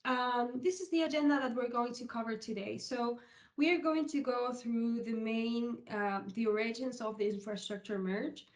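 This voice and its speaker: A woman's voice: fairly high pitch, normal speed. A woman of about thirty-one from Uruguay with a Uruguayan Spanish accent, giving an informal online presentation in a hesitant, explanatory way. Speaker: presenter, HR technology consultant. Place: online video call session.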